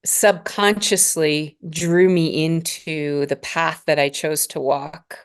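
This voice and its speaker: Guest role: woman in her forties from Northern Alberta, low voice, calm unhurried spiritual talk. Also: clean tone. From Northern Alberta, Canada. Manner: slow, measured, spiritual phrasing with pauses. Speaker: podcast guest, spiritual mentor. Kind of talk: reflective interview conversation.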